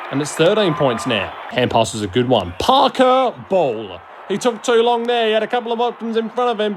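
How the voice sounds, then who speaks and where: A man giving excitable, colloquial play-by-play sports commentary in a blunt manner, often answering his own questions. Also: sits high. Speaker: amateur football commentator. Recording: loud crowd noise behind the voice. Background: stadium crowd ambience.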